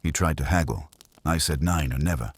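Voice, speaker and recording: A careful male doctor weighed down by guilt, read by a male narrator. He sounds deep and formal, choosing his words with resignation, in a dry mystery audiobook recording. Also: brisk, husky, no echo. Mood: resigned.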